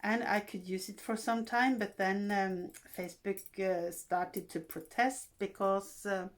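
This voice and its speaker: A Norwegian woman in her sixties hosts her own vlog, speaking English as a second language with a clear Norwegian accent. She talks slowly, at a mid pitch, stopping often with fillers and restarting her sentences. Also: level tone. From Norway. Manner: unhurried, halting, with frequent fillers and restarts. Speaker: vlog host. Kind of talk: casual vlog talk.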